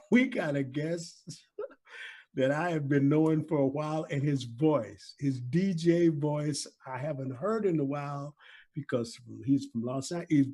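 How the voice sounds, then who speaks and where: Male co-host, somewhat high-pitched, folksy and effusive, casual banter. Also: unhurried, warm tone. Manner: effusive, folksy, calls people buddy and man. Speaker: talk show co-host.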